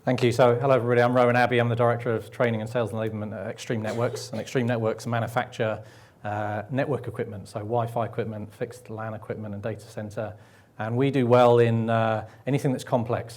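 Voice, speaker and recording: A man's voice: mid-range voice, a little mellow. A man introducing himself on a conference panel as a training director, friendly and hesitant with fillers.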